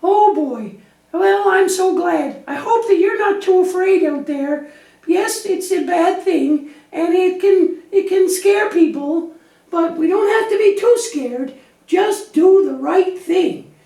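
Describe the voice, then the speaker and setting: Very high-pitched anxious little lamb puppet. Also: female voice, slow, mellow, full character voice. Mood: relieved, earnest. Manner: naive, earnest, chatty and repetitive. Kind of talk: children's church puppet show. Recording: echoey room, distant microphone.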